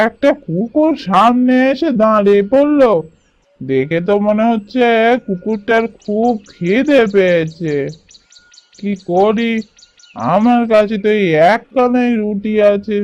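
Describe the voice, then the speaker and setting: An actor playing a poor, kind-hearted village labourer in a cartoon, speaking lines in an earnest, plaintive, musing way. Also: male voice, sits high, expressive, takes it slowly, a little mellow, no room sound, muffled recording. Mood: kindly, pitying. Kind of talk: cartoon voice acting.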